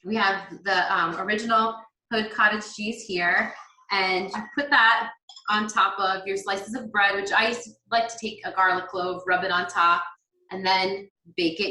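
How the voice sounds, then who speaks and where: A woman talks through a simple recipe in a chatty, upbeat, instructive way. Her voice is bright and forward. It has a noticeable echo from the room around her. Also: mid-range voice. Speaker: guest demonstrating a recipe. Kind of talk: cooking demo chat on a video call.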